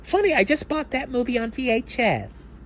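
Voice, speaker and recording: A man's voice: very high voice, lively intonation, moderate pace, slight background noise, voice pushed up. A man voicing a tipsy, nervous and eager basketball fan in an audio play, hesitant, stammering and incredulous.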